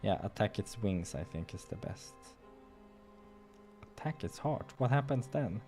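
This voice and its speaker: A man's voice: lowish voice, animated, a little slow, mellow, faint music behind. A man reading a visual novel aloud during a gaming playthrough, slipping into casual asides and stumbling over lines now and then. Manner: stumbles over read lines and corrects himself.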